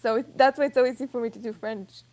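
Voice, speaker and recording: A woman's voice: fairly high pitch, fairly even intonation, fast, darkish tone. A woman with an Israeli accent joking and teasing while playing a game with friends. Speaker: game player. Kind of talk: casual let's-play chat.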